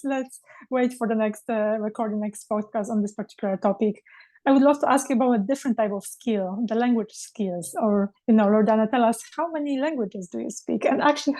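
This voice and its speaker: Mellow higher-voiced woman host. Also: rough recording. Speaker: podcast host. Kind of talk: interview questions.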